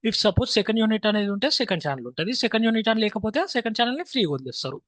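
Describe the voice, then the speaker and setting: High-voiced man with a Telangana accent, teaching. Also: big pitch swings, quick pace, a bit bright, dry recording. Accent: Telangana Telugu. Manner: repeats key points, mixes in English phrases. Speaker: filmmaking instructor. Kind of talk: informal explanatory lesson.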